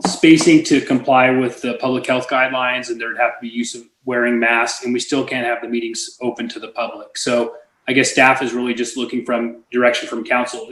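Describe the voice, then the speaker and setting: A city staff man explaining options to the council in a measured, hedging way. His voice stays level and flat, and it rings in an echoey room. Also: medium pitch. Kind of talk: staff report in a council meeting.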